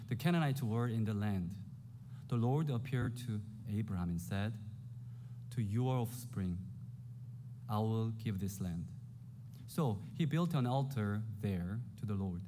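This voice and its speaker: A man in his forties with a Korean accent, preaching a sermon in an earnest, humble, measured way. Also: fairly low pitch, warm tone, slight background noise. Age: 40s. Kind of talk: sermon with scripture reading.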